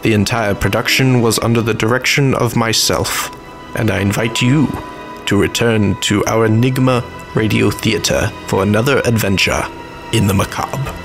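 A man hosts a radio theatre show, reading scripted credits in a fairly low voice. Music plays clearly behind him. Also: steady tone, normal speed. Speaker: host and director. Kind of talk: scripted closing narration.

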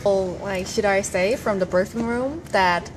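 A woman's voice: mid-range voice, a little slow, over music. A woman in her thirties, a foreign cabin crew member, searching for words and saying "like" often, recorded close on a phone in an airport hall. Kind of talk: casual interview. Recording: background noise in hall.

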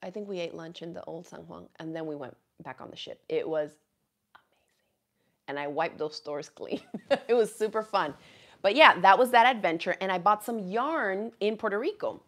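A woman's voice: mid-range voice, moderate pace. Chatty woman hosting a craft live chat. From Miami, USA. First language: Spanish. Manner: chatty and animated, laughs often, reads viewer comments aloud. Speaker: craft channel host. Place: small indoor craft room with quilts on the wall.

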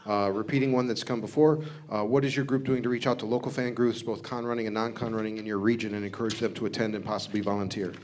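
A man moderating a question session, halting as he reads questions from paper. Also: fairly low pitch, flat delivery, slight background noise. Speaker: panel moderator.

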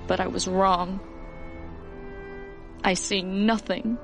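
A brave young heroine of an animated film. She is earnest, with a medium pitch and slow pace, over music. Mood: downcast.

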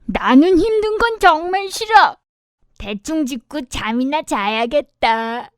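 Woman as a squeaky, whiny little piglet. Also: lively intonation, no room sound, studio quality. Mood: sluggish, grumbling. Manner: whiny, drawn-out. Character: lazy, whiny young piglet, eldest brother. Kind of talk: children's storybook character voice.

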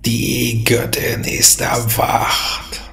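Gurgling, whispery drowned sea lord. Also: male voice, medium pitch, monotone, a bit bright, soft background music, heavily acted. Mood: ominous, commanding. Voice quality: gurgling, breathy rasp, barely intelligible. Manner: slow, drawn-out, commanding. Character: gaunt, drowned undead sea lord, male, menacing.

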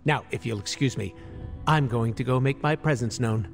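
A man's voice: medium pitch, moderate pace, gravelly, soft background music, close to an everyday voice. A man playing an irreverent, wisecracking mercenary antihero in a video game, sarcastic and flippant. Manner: sarcastic, flippant, cartoonishly animated. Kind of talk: comic video game character acting.

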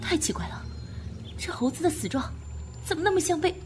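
A woman's voice: very high-pitched, lively intonation, fairly quick, faint music behind. A woman playing a superstitious, jumpy, outspoken grave robber in a wartime audio drama. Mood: uneasy, insistent. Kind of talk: Chinese audio drama acting.

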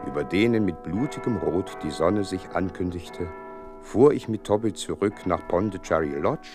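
An actor playing an earnest gentleman doctor who recounts a case in florid, wry storytelling in an audio drama. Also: sits low, lively intonation, moderate pace, over music. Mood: florid narrating. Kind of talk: dramatised first-person narration, German audio drama.

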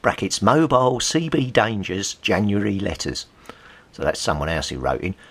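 A man's voice: fairly low pitch, expressive, moderate pace, fairly bright tone, husky. A middle-aged man with an English accent, chatting casually as he reads bits aloud, with wry, amused asides. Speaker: vlogger, CB radio hobbyist. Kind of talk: casual commentary while leafing through old magazines, reading bits aloud.

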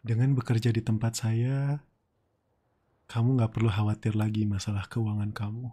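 Low, breathy Indonesian boss played by a man. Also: flat delivery. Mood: persuasive, commanding. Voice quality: soft, breathy. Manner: slow, coaxing, murmured. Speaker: ASMR roleplay streamer. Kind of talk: intimate boyfriend roleplay ASMR. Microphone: close ASMR microphone.

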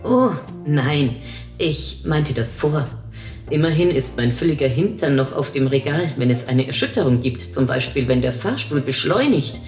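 Low, deadpan, sarcastic wife acted by a woman reader for audio fiction, echoing room. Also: moderate pace. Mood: skeptical. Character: dry, sarcastic, skeptical newlywed, bickering with her wife. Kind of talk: audio short-story dialogue. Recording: roomy echo, faint music.

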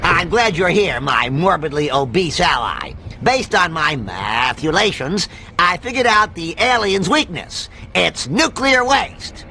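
Bright, goofy nerdy scientist. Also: male voice, a little high, sing-song, a little slow, slight background noise, exaggerated voice. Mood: eager. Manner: pedantic, dithering. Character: nerdy, goofy, eccentric middle-aged scientist. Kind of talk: cartoon video game voice acting.

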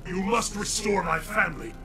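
An actor playing a vengeful, defiant undead ninja in a video game, with a gravelly, menacing growl and terse, formal threats. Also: male voice, sits high, moderate pace, background noise, lightly acted. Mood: angry.

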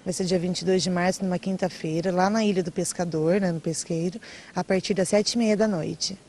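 A young woman answers interview questions outdoors. Her voice is on the low side and her manner is casual and chatty. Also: fairly even intonation, a little fast, darkish tone. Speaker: marketing manager of a charity. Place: outdoors by a brick wall.

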